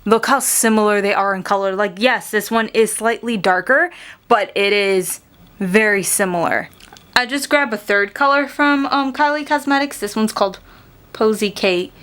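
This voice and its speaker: A young woman in a relaxed beauty vlog, talking straight to the camera about cheap makeup. She is chatty and frank, leaning on "like" as she goes, in a plain mid-pitched voice. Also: a little hiss or hum. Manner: chatty, frank, filler-heavy with "like". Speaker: beauty vlogger. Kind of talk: casual makeup review to camera.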